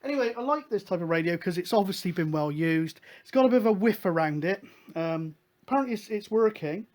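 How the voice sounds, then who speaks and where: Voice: mid-range voice, lively intonation, brisk, darkish tone. A host explaining things casually, in a hesitant, rambling, matter-of-fact way. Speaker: host of a hobby CB radio show.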